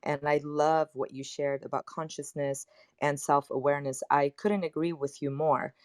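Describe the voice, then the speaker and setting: A woman's voice: deep voice, moderate pace, no echo. Lebanese-born woman, dry close sound. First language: not English. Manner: animated, personal, emphatic. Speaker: panelist. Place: online audio chat room.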